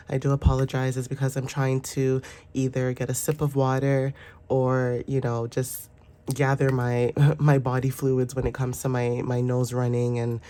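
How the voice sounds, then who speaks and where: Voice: mid-range voice, warm tone. A tarot reader with a sinus infection, congested and stuffy, giving a casual, rambling, reassuring reading full of fillers. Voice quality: stuffy, nasal.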